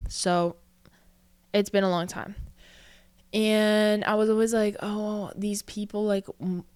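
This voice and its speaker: A woman's voice: mid-range voice, steady tone, takes it slowly, a little mellow, clean tone, no echo. A woman in her early twenties hosting a casual solo podcast, talking in a chatty, self-reflective way full of "like" fillers and a little out of breath. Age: early 20s. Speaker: podcast host.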